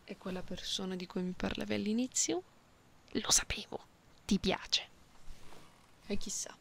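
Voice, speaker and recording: A woman voicing an affectionate, playful cat-girl in a soft-spoken ASMR roleplay, soothing and teasing, slipping into whispers. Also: mid-range voice, unhurried. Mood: teasing, encouraging. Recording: close binaural recording, clearly noisy background.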